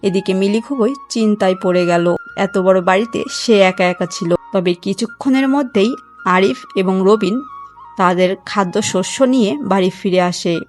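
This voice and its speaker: A woman's voice: mid-range voice, normal speed, soft background music. A woman narrator reading a scripted moral story for a cartoon.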